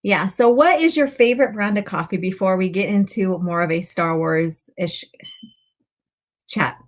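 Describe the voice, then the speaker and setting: Chatty woman with a slightly raspy voice. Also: mid-range voice. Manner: chatty, greeting viewers by turns, with pauses and asides. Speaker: livestream host. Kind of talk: casual live chat to viewers.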